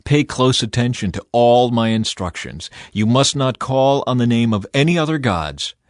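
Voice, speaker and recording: Male audiobook Bible reader, mid-range pitch, measured and solemn, close dry studio sound. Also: animated, dry recording. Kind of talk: scripted scripture narration.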